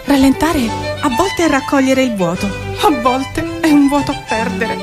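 Woman reading poetry over loud music. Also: high voice. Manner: deliberate, rhythmic, repeating phrases, at times half-sung. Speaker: spoken-word performer. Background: loud music with violin.

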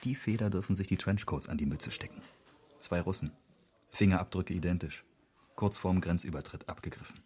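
An exasperated male police officer from a radio crime drama. The voice is deep and thoroughly husky. He grumbles and scolds with dry sarcasm. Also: brisk, darkish tone. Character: exasperated male police colleague, sardonic, grumbling but loyal.

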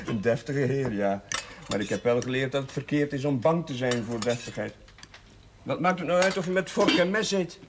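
A man playing a cheerful, warm-hearted young priest who laughs easily and gets exuberant. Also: medium pitch, expressive, normal speed, noisy background, lightly acted. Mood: teasing. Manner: exuberant, laughing, breaks into song. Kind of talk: 1970s television drama.

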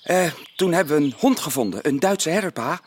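Earnest, animated boy, radio drama. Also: a little high, brisk, slightly rough. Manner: earnest, youthful, persuasive. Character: earnest, pleading boy Paul, cautious brother. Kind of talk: Dutch radio play acting.

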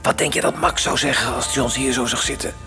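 An actor playing an anxious, wry middle-aged former minister in a radio drama, fretful and sardonic. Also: male voice, mid-range voice, steady tone, fast talker, over music, natural voice. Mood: wry, uneasy.

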